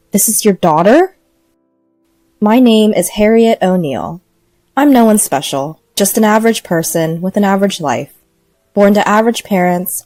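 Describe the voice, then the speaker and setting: A woman's voice: lowish voice, darkish tone, dry recording. A woman reading a first-person scripted story as narrator.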